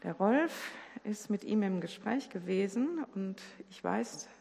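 A woman addresses her congregation in an earnest, gentle tone. Her voice has a gravelly texture. She is recorded in a church with a long reverb. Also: mid-range voice, lively intonation, slow. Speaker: pastor leading the service.

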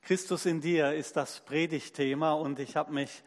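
A man's voice: on the high side, fairly even intonation, moderate pace, big-room sound. A man preaching a sermon to a full church, opening with a delighted address to the congregation. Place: church hall.

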